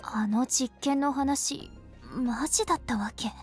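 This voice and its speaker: A woman's voice: sits high, moderate pace, natural voice. An actress playing a skeptical female company employee in a visual novel, given to dry asides. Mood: wry, doubtful. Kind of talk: Japanese visual novel acting. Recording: music behind the voice.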